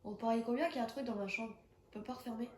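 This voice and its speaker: A woman's voice: on the high side, racing, roomy sound, slight background noise. Young woman with a cold, chatty and jumpy. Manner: chatty, jumpy, exclaims when startled. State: has a cold. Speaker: gaming streamer. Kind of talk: casual horror game commentary. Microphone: phone.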